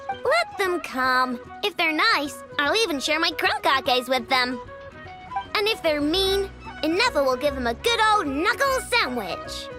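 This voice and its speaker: A woman voicing an excitable, cocky young girl inventor in a video game, bubbly and boastful, full of exclamations. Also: high-pitched, unhurried, music in the background. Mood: cocky, carefree.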